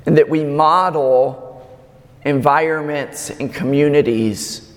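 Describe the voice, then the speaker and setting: A man preaching a sermon in a slow, measured way with emphatic pauses, his voice slightly raspy. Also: on the high side. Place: large church. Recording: long church reverb.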